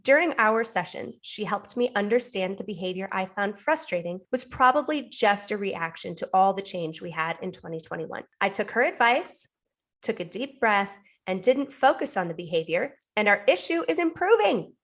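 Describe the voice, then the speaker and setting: A woman's voice: medium pitch, animated, moderate pace, a bit bright. A woman, around her thirties, talking conversationally as a podcast co-host.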